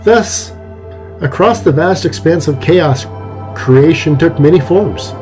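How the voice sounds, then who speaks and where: A man's voice: fairly high pitch, lively intonation, takes it slowly, music in the background. A man giving a solemn, portentous scripted narration in a dramatic mythological style.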